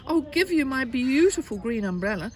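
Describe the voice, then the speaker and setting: A woman's voice: sits high, very animated, moderate pace, darkish tone, pitched above the performer's own voice. A woman voicing a frightened, polite little boy who pleads his way through a storybook tale. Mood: pleading.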